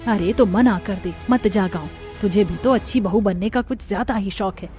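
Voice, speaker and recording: A woman's voice: mid-range voice, normal speed, mellow, faint music behind, natural voice. A woman voicing a concerned, insistent mother of a grown daughter in a cartoon story.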